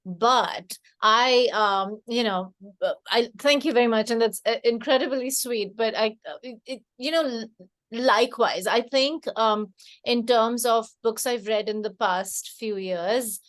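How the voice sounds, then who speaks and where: A middle-aged woman author from India, speaking with an Indian accent, in a thoughtful, warm casual conversation at a library event. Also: on the high side, unhurried. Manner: thoughtful, warm, many fillers and restarts.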